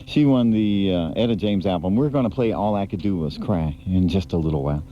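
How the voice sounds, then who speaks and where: A man's voice: low-pitched, fairly quick, mellow, slight background noise. A man DJing on the radio, chatting casually, rambling and laughing between records. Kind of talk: casual on-air DJ talk. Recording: muffled 1980s AM/FM aircheck tape.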